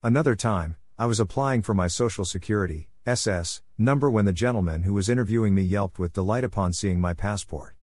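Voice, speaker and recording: Low-pitched man reading an essay. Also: fairly quick, hardly any pauses, darkish tone, no room sound, studio quality. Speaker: narrator reading an opinion column. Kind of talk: scripted narration of a personal essay.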